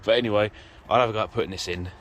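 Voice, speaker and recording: A man's voice: fairly low pitch, steady tone, very fast, fairly bright tone. British man rambling through DIY, noisy recording. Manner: rambling, self-deprecating, informal. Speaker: vlogger, amateur vehicle DIYer. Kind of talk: casual handheld DIY walkthrough, narrating while working. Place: in and around an off-road vehicle outdoors. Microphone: handheld phone or camera mic. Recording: noisy handheld recording with vehicle ambience.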